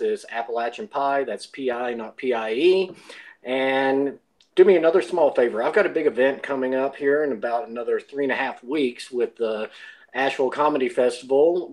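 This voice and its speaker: Male podcast guest, medium pitch, courteous conversational plug of his own show. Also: a little mellow, rough recording.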